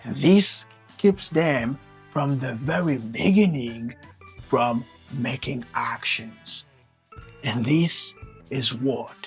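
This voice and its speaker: A man teaching English in a slow, measured and emphatic way, recorded from a distance. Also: mid-range voice. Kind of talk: slow, deliberate lecture.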